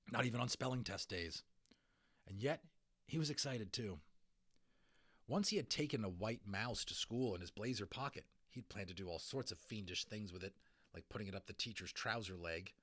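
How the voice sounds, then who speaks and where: Male storyteller: lowish pitch, dry close sound, expressive dramatic reading for children. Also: no room sound. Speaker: audiobook narrator.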